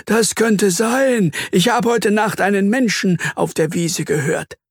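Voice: mid-range voice, normal speed, no room sound, studio quality, voice pushed up, natural voice. A storyteller voicing a proud male earthworm detective, self-important and emphatic but secretly timid. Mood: pondering.

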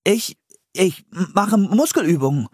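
A man's voice: a little high, moderate pace, slightly rough, no room sound, studio quality, voice pushed up. A man voicing an eager, clumsy, easily embarrassed young boy in a children's audiobook.

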